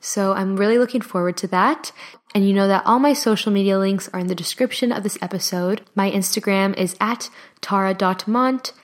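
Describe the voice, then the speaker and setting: A young adult woman hosting a solo motivational podcast, earnest and encouraging, her voice slightly hoarse from talking. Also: mid-range voice, fairly quick. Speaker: podcast host. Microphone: studio microphone.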